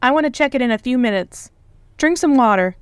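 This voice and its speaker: Girl voicing fussy caring young woman, high and animated, comic dub acting. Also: brisk, clean tone, no echo, clean studio sound. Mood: concerned. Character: caring, fussing young woman tending a friend.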